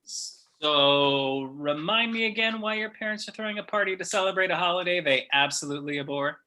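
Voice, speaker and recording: A man's voice: high voice, moderate pace, pushed and bright. A man reading the part of a breezy, nerdy, wisecracking teenage best friend, in an amateur script read. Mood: wry, playful.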